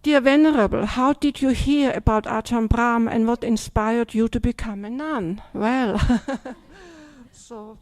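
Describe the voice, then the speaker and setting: A woman's voice: on the high side, measured pace, a little mellow. An older woman, a Buddhist nun from Austria with an Austrian German accent, giving a chatty dharma talk that keeps checking in with "yeah?" and "yes?".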